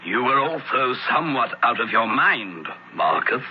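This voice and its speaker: Actor as a stern Roman judge, swooping intonation. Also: male voice, medium pitch, very animated, natural voice. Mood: stern, accusing. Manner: deliberate, formal interrogation. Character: stern, formal Roman senator acting as judge. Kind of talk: old-time radio drama acting. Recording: muffled, hissy old radio recording.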